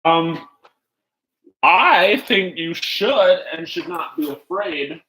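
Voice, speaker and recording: A man hosting a livestream in casual chat, rambling haltingly and jumping between asides as he talks to viewers. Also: on the high side, lively intonation, pushed and bright, rough recording. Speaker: livestream host.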